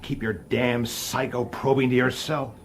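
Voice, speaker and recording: An actor in a radio drama playing an irritable, defensive detective and husband, gruff, blunt and impatient. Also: male voice, medium pitch, flat delivery, a little slow, a little hiss or hum, lightly acted. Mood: defensive, agitated.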